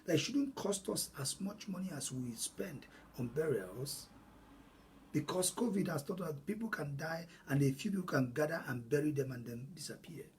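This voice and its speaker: A man's voice: fairly high pitch, lively intonation, normal speed, a little mellow, slight background noise, low-quality recording. A man from Nigeria with a Nigerian accent, a native Igbo speaker, hosting an earnest, emphatic solo talk on money. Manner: earnest, emphatic, repeats phrases for stress. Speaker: host.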